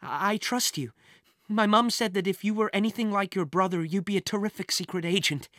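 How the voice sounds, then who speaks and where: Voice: medium pitch, fairly quick, a bit bright, no echo, clean studio sound, voice pushed up, lightly acted. A storyteller voicing an earnest, anxious young girl in an adventure audiobook, sincere and a little hesitant. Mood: tearful, trusting.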